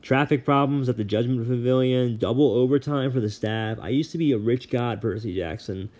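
A man's voice: mid-range voice, a little fast, a little mellow, pitched above the performer's own voice, natural voice. A man reading aloud, voicing an angry, harried male god of the dead who bellows complaints and threats. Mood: ranting. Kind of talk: audiobook reading.

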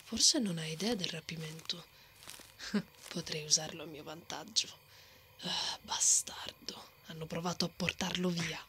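A woman playing a brave, hot-tempered, bossy female knight in an ASMR roleplay, often breathy and muttering threats to herself. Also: low voice, steady tone, a little slow, bright, forceful tone, exaggerated voice. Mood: sly, muttering. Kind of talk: ASMR roleplay, acted monologue. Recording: noticeable outdoor ambience effects behind.

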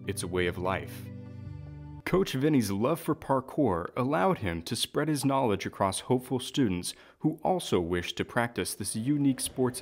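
A man reading informative scripted narration as a documentary voice-over. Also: fairly low pitch.

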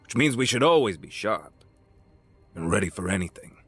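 Man acting as a smug, low-voiced crime boss. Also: lively intonation, a bit bright, slightly rough, soft background music, heavily acted. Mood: sly, needling. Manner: drawling, patronizing, deliberate. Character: smug older male crime boss, menacing and folksy. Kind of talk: dramatic audio drama acting.

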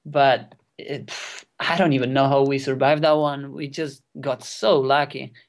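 A young man in his twenties, a non-native English speaker whose first language is Catalan, telling a wild kayaking story as a guest, excited and swearing freely. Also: mid-range voice, a little slow. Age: 20s. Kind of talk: casual storytelling interview. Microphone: remote call.

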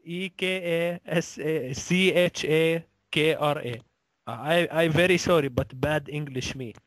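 A man voicing a pleading immigrant cow seller with an Indian accent and halting broken English on a prank call. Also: a little high, fairly bright tone, dry recording. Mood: earnest, halting. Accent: put-on Indian accent.

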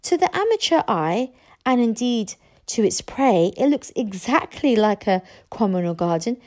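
A woman's voice: fairly high pitch, big pitch swings, unhurried, darkish tone. A woman voicing an earnest boy who explains things with solemn expert airs, in a storybook reading. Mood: respectful lecturing.

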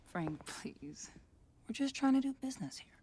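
An actress playing a smooth, calculating female music label boss in a video game cutscene, with a breathy, silky voice. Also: high voice, very animated, moderate pace, dark tone, heavily acted.

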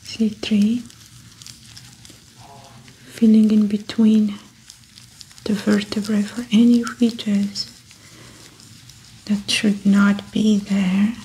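A young woman whispering softly in an ASMR medical roleplay, calmly narrating a clinical exam as she works. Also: medium pitch, extremely slow, pauses often, a little hiss or hum, rough recording. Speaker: ASMR creator playing a doctor. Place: small indoor studio set.

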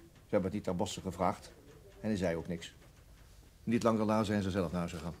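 A man acting a weary, methodical police detective in a Dutch radio drama, speaking in a measured, matter-of-fact way. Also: low-pitched, fairly even intonation, darkish tone, close to an everyday voice. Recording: noisy old radio drama recording.

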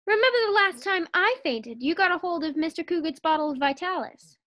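Woman playing Liz, very high-voiced wisecracking housewife. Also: animated, a little fast, pushed and bright, full character voice. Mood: wry, reassuring. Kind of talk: 1940s radio sitcom acting.

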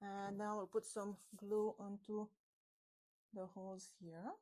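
A woman's voice: mid-range voice, flat delivery, slow pace, darkish tone. A woman in her forties hosting a woodworking tutorial, chatting through each step while she works with her hands, recorded on a clip-on mic in a home workshop. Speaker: woodworking channel host. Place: home woodworking shop with open garage door. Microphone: small clip-on mic on her shirt.

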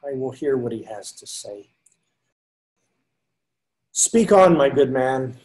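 A man's voice: mid-range voice, lively intonation, slow, darkish tone. An older man reading the part of a pious friar who loves books, earnest and pleading, in a video call reading. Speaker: reader in readers theater. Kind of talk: amateur readers theater over video call. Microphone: video call webcam.

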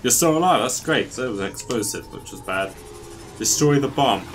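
A young man in his twenties giving excitable, casual commentary on a video game as he plays. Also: medium pitch, fairly quick. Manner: excitable, exclamatory, talking to himself. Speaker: gaming streamer. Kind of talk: casual let's-play commentary. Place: small room at home. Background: game music.

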